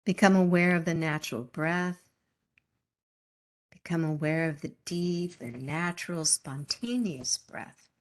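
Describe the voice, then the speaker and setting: A woman teaching meditation in a low voice. She speaks very slowly and gently, leaving calm pauses between short instructions. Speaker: yoga nidra meditation teacher.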